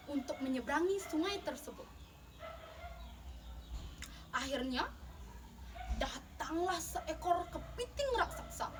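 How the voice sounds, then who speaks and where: A young woman telling a folktale in a theatrical, emphatic storytelling style, as if in a contest. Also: sits high. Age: young adult. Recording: distant mic, clearly noisy background.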